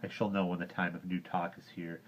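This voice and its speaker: A man's voice: mid-range voice, steady tone, moderate pace, a little hiss or hum, voice pushed up, natural voice. A man voicing a proud, hot-tempered young jungle boy, speaking raised and indignant. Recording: dull lo-fi recording.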